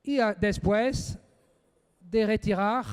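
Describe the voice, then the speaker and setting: High-voiced man in an echoey hall. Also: clean tone. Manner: halting, searching for words in a second language. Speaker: conference speaker. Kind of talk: technical presentation.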